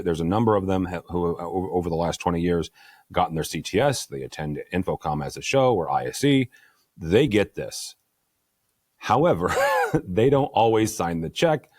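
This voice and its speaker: A deep-voiced man hosts a news roundtable in an easygoing way, dropping joking asides between stories. His voice sits fairly low. The sound is close and dry, with no room around it. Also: expressive, a little fast, darkish tone, no room sound. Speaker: host. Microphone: good studio microphone.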